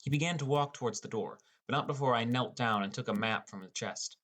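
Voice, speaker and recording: A young man voices a teenage boy, new to gaming, in an audiobook drama. He narrates in the first person with a plain, understated and slightly wry delivery. The pitch sits in an ordinary middle range. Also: brisk, fairly bright tone, natural voice. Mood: narrating.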